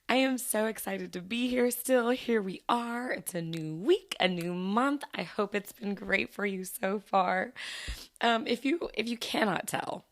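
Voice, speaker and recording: A woman hosting a casual solo podcast, her voice low and scratchy from allergies, chatting and giggling in a self-deprecating way. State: hoarse from allergies. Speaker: podcast host; teacher.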